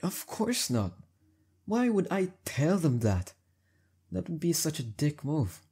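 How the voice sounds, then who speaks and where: A young man acting as a heartbreaker friend in an intimate roleplay audio, talking casually and haltingly with emphasis and a soft husky edge. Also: on the high side, big pitch swings, exaggerated voice. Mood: defensive, teasing.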